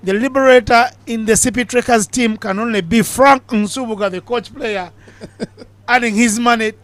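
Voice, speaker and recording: A man commentating on cricket live from a commentary box, bantering and joking between balls and getting excited on big hits. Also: extremely high pitch, moderate pace, no room sound. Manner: chatty, jokey banter with big excited calls.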